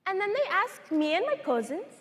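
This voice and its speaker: A woman's voice: very high-pitched, very animated, moderate pace, voice pushed up. A woman performing a dramatic monologue as a Somali woman survivor recounting her childhood, earnest and wounded. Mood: solemn, hurt. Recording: echoey large hall.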